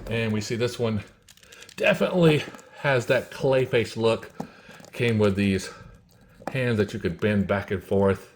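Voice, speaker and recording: A man giving a casual, unhurried product review, talking in short phrases with pauses and repeating himself. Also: lowish voice, a little hiss or hum. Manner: unhurried, repetitive, pausing between short phrases. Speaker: toy reviewer, host.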